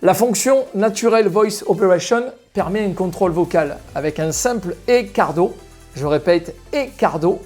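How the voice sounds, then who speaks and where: A man's voice: high-pitched, normal speed, a little mellow, faint music behind. A man giving an explanatory, semi-scripted product presentation straight to viewers as a shop presenter. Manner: explanatory, addressing viewers directly.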